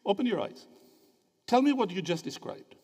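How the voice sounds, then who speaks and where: A man gives a theology lecture in a large hall, his slightly high voice rising and falling with lively, animated inflection. He keeps prodding the audience with short questions, and a long reverb trails each phrase. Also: normal speed, slightly rough. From Greece. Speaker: theology speaker at a symposium. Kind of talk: interactive lecture.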